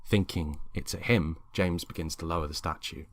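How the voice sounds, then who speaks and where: Deep-voiced man narrating a dramatic story. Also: fairly even intonation, moderate pace. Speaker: story reader.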